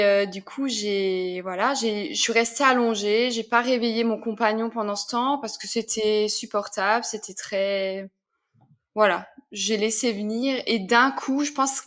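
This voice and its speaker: A woman from Alsace with an Alsatian accent, giving a personal testimony as an interview guest, speaking softly and haltingly. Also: fairly high pitch. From Alsace, France. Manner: soft, halting, many fillers.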